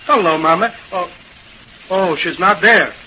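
An actor playing an exasperated, blustering Hollywood studio president in an indignant, emphatic manner in an old radio drama. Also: male voice, sits high, unhurried. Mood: busy, flustered. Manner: indignant, emphatic, self-questioning. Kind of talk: 1930s-40s radio drama acting. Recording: old noisy, muffled radio recording.

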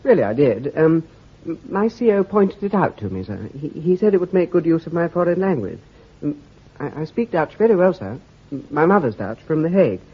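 An actor playing an eager, nervous young army lieutenant in a radio drama, who stammers and answers deferentially. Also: male voice, a little high, big pitch swings, fairly quick, slightly rough, exaggerated voice. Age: young adult. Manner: stammering, deferential, says sir constantly. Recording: lo-fi, muffled old recording.

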